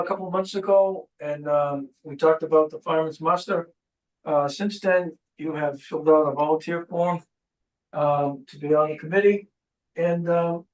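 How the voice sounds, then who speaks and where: A man's voice: fairly high pitch, highs cut off. Hesitant man chairing, echoey distant room. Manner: hesitant, uses filler words. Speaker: committee chair. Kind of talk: chairing a meeting. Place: town boardroom.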